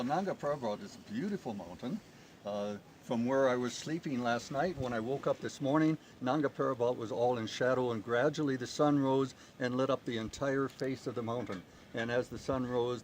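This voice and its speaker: A middle-aged man from the United States, a tourist guest describing his trip with enthusiasm, recorded outdoors in the mountains. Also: medium pitch. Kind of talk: outdoor interview. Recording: noisy outdoor ambience.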